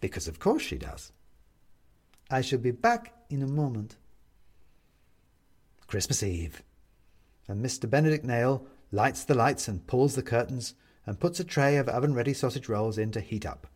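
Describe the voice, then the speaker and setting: A man's voice: medium pitch, lively intonation, moderate pace, a little mellow, slightly rough. A man narrating a scripted story expressively as an audiobook reader.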